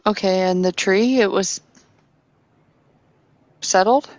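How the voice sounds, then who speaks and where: A woman voicing a shy female werewolf who is unsure of her English, speaking in hesitant, halting questions. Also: mid-range voice, dry recording, close to an everyday voice.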